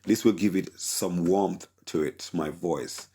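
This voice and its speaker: A man with a deep voice teaching a casual tutorial on mixing vocals, with a relaxed, filler-heavy delivery full of "okay?". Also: slow pace, breathy edge. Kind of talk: casual screen-recorded tutorial.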